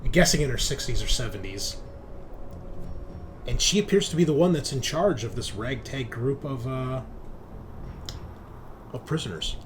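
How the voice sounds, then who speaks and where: A man acts as game master at a tabletop session, moving between narration and rules explanations. His medium-pitched voice is informal and peppered with swearing. Noticeable noise sits in the recording. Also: lively intonation, normal speed, pauses often. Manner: informal, salty-tongued.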